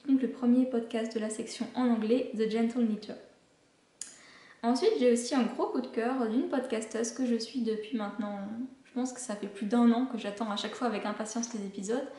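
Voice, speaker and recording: A woman's voice: fairly high pitch, fairly even intonation, fairly quick, warm tone, roomy sound. A woman hosting a knitting podcast, chatting casually about shows she recommends, with many hesitations. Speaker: knitting podcast host and pattern designer.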